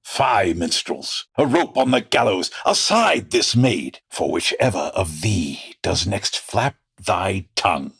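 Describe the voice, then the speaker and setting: Husky, villainous medieval sheriff, pompous. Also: male voice, deep voice, sing-song, slow, hardly any pauses, a bit bright, heavily acted. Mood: angry. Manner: pompous, theatrical. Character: villainous, boastful medieval English sheriff, easily angered. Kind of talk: audio drama acting in archaic English.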